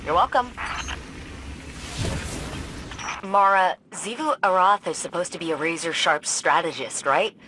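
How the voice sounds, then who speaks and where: A woman's voice: on the low side, normal speed, noisy background, natural voice. An actress playing a confident, wisecracking female tech expert in a video game, talking casually and quippily. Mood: breezy, confident. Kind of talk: scripted game dialogue.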